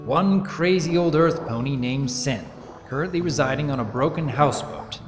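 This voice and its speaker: A man voicing an impatient, curt but soft-hearted unicorn baron in a dramatic story reading. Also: on the high side, normal speed, over music, close to an everyday voice. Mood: dry, official. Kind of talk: dramatic reading of fan fiction.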